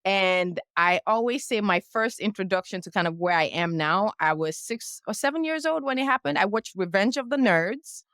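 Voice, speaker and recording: Lively woman, dry close sound. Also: medium pitch, moderate pace, hardly any pauses, smooth, dry recording. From Nigeria. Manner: lively, animated storyteller who acts out past dialogue. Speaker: guest, publicist and coach. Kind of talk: casual storytelling interview.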